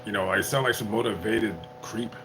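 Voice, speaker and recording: A tipsy man live-streaming as a YouTuber, chatting casually in a rambling, halting way full of restarts. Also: lowish voice, steady tone, fairly bright tone, a little hiss or hum. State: tipsy from drinking. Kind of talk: casual livestream chat.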